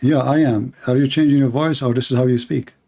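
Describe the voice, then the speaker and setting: Mellow, insistent man hosting a call-in debate. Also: medium pitch, fairly even intonation, brisk. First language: Arabic. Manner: insistent, questioning, repeats himself. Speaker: host of a live call-in show on religion. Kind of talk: conversational religious debate with a caller.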